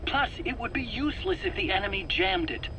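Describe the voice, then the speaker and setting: A man's voice: high voice, expressive, a little fast, sharp tone. A man playing a defensive, rambling weapons scientist under interrogation in a video game, hesitant and prone to stammering as he explains. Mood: earnest explaining. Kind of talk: dramatic video game voice acting. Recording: faint background noise on cassette recording.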